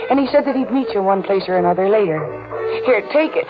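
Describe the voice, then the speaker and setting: An actress playing a young woman selling masks at a costume ball, chatty and brisk, in 1940s radio acting style. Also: medium pitch, expressive, lightly acted. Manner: chatty, brisk, matter-of-fact. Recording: muffled old radio with music.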